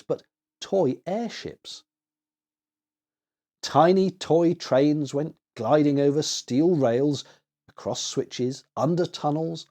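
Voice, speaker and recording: A man's voice: medium pitch, mellow. A man reading a children's story aloud as narrator, expressively and with dramatic emphasis.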